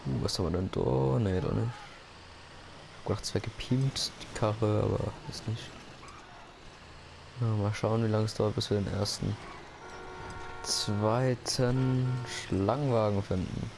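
A man's voice: on the low side, a little slow, vocal fry, long pauses. A man giving rambling, joking commentary while playing a driving game. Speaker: gaming YouTuber. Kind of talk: casual let's-play commentary. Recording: noisy gameplay recording.

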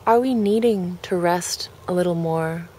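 A woman leading a guided relaxation, speaking gently in measured phrases with pauses between them. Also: fairly low pitch. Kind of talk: guided relaxation, meditation narration.

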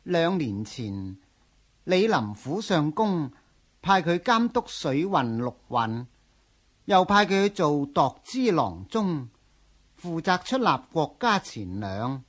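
An actor playing a deferential court attendant in a radio drama, with a husky voice and a Hong Kong Cantonese accent. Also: male voice, on the high side, big pitch swings, slow pace, a bit bright, exaggerated voice. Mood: deferential, persuasive.

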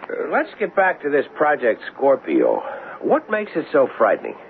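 A dogged, skeptical police sergeant in an old radio play. His gravelly voice swings widely in pitch as he probes in clipped cop talk, over a thin, phone-like recording. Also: wildly swooping pitch, moderate pace. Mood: pressing. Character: dogged, suspicious, blunt male police sergeant. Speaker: actor in a radio mystery drama. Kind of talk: 1970s radio drama acting. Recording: muffled, band-limited, noisy old radio recording.

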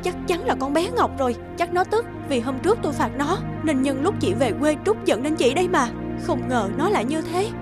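A woman's voice: high voice, animated, brisk, few pauses, over loud music. A woman playing a strict middle-aged mother in a cartoon, firm and reproachful in her manner. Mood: angry. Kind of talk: cartoon dubbing.

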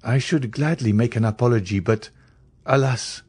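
A man's voice: medium pitch, normal speed, a little mellow, slightly rough, no room sound, lightly acted. A man voicing an earnest young male journalist, a grave and loyal friend, with emphatic, formal delivery in an audiobook. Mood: gentle, regretful. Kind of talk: dramatised audiobook reading.

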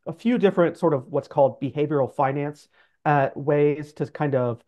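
A man's voice: on the high side, normal speed. A middle-aged man explaining things as an interview guest in an informal, conversational way with many loose qualifiers. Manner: informal, hedging with like and sort of. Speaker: guest, financial adviser. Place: office room, indoors. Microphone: video call. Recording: dull video-call audio.

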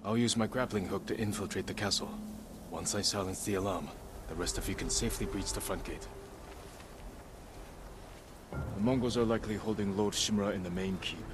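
An actor playing a determined young samurai hero in a video game cutscene, giving quiet, steady commands. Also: male voice, lowish voice, a little fast, background noise, natural voice. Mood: calm. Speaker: lead character. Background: orchestral game score.

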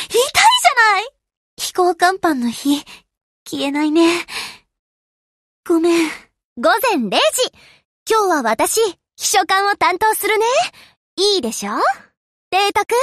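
A woman's voice: extremely high pitch, a bit bright, no room sound, studio quality, heavily acted. A woman voicing a cheerful, earnest young warship girl with a girlish, perky, sweetly familiar manner in short Japanese game lines.

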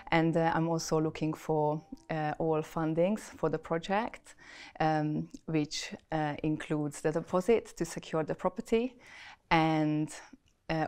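Woman from Hungary, thirties, low smooth voice, halting careful pitch to investors. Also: a little mellow. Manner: careful, with frequent uh and um pauses. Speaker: property deal presenter.

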